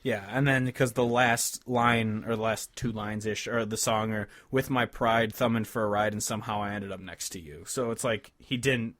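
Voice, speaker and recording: A man in his twenties with a fairly low voice, recorded dry and close. He talks as a podcast co-host in a casual, rambling way, with informal and sometimes profane wording. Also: fairly even intonation, normal speed, hardly any pauses, fairly bright tone, no room sound. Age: 20s. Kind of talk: casual music discussion.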